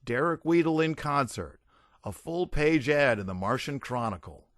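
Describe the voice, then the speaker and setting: Guy reading an excited lady in an audiobook, eager n bouncy, lots of pitch movement. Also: moderate pace, no room sound, clean studio sound, close to an everyday voice. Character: excitable, eager woman, friend of a punk singer.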